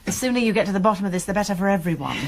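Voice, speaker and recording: An actress plays a sensible college nurse with an English accent. She speaks with measured, earnest concern in a naturalistic British radio drama style, at a medium pitch. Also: animated, a crowd in the background, natural voice. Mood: concerned, frank. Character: concerned, sensible college nurse, woman, helping police friend.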